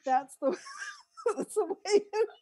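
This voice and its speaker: A woman's voice: very high voice, lively intonation, takes it slowly, mellow. An older woman co-hosting a radio show, chatting and telling stories casually in a rambling, easily sidetracked way. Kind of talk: casual chat and storytelling.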